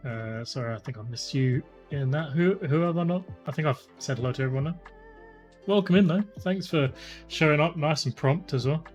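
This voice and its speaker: A man in his thirties chatting casually as a stream host, rambling with lots of fillers, his voice slightly croaky. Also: fairly high pitch, fairly quick, darkish tone. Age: 30s. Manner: rambling, chatty, many fillers, greets viewers by name. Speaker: livestream host. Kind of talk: casual live-stream chat. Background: faint lo-fi music.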